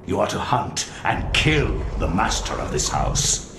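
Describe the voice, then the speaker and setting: A menacing cult villain from a video game, very low and full of threat. His theatrical, commanding lines swoop and fall, echoing around him. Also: very animated, unhurried. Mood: commanding, threatening. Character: menacing villainous servant of an ancient dark god. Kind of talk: dramatic video game voice acting. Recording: echoing, noisy game cutscene audio.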